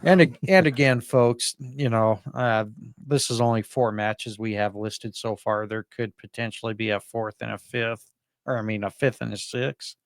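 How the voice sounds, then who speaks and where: A man's voice: mid-range voice, steady tone, moderate pace, no echo. A man co-hosting a podcast in casual sports talk, rambling a little as he thinks aloud. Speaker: podcast co-host. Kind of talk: casual sports prediction chat.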